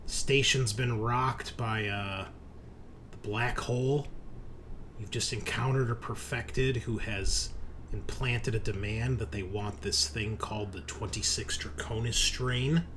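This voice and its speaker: A man's voice: fairly low pitch, steady tone, slow, fairly bright tone, a little hiss or hum. A man hosting and game mastering a tabletop roleplaying session in an informal, casual way.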